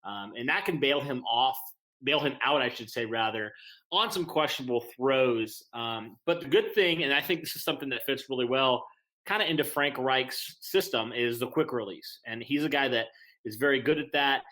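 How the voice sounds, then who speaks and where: Bright-voiced man analyzing football. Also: mid-range voice, normal speed, low-quality recording. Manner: earnest, hesitant with frequent um and restarts. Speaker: podcast co-host. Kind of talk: casual sports podcast analysis.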